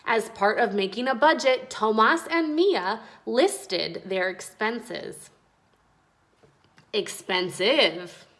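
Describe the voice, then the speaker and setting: A woman reading aloud and explaining words as a teacher speaking to children, in a clear, explanatory way. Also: fairly high pitch, a bit bright. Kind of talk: children's read-aloud and vocabulary lesson.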